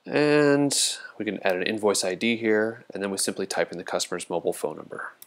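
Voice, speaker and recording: A man presenting a casual software walkthrough as a product demonstrator, explaining things informally step by step. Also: fairly low pitch, expressive, fairly quick. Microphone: voice-over recorded over a screen demo.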